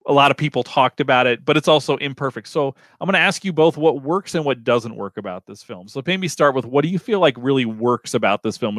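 A man's voice: medium pitch, fairly bright tone, dry recording. A male host with a mid-range voice leads a film discussion in a dry, close recording. His talk is casual and informal. He rambles loosely as he works toward each point.

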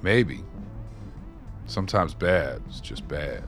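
A man's voice: very deep voice, animated, slow pace, music in the background, heavily acted. An actor playing a streetwise, wry former maintenance man, telling stories in a folksy, colloquial way in a radio play. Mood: grim, wry. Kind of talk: radio play dialogue, reminiscing.

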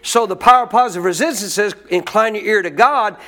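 A man's voice: high voice, fast, bright, forceful tone. A man preaching a sermon as pastor, teaching in a colloquial call-and-response manner.

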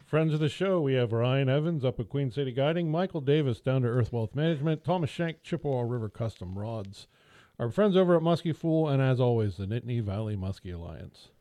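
A man on a podcast spins a rambling, self-deprecating story in a mellow, darker tone. His voice sits at a middle pitch. The studio sound is dry and close. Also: expressive, fairly quick, no echo. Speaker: podcast co-host. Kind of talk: casual storytelling chat. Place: small podcast studio around a table.

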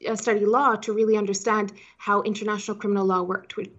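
A woman in her thirties talks as an interview guest in a conversational, chatty way, padding sentences with "you know". Her pitch is average, and the sound is somewhat dull and lo-fi. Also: level tone, fast talker. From Switzerland-born, raised in Hong Kong. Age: 30s. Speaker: podcast guest, startup founder.

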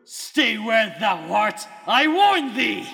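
A man's voice: extremely high pitch, big pitch swings, takes it slowly, gravelly, full character voice. A man playing the menacing ghost of an old lord in a radio drama, with a commanding, theatrical, archaic delivery. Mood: threatening. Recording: long hall reverb under loud music.

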